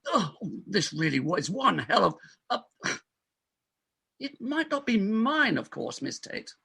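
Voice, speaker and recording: A man performs a middle-aged hospital doctor in a comic play reading. His voice is husky and bright, with very animated swings. He blusters and stammers, pompous and evasive whenever he is flustered. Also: high voice, takes it slowly, heavily acted. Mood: panicked, blustering.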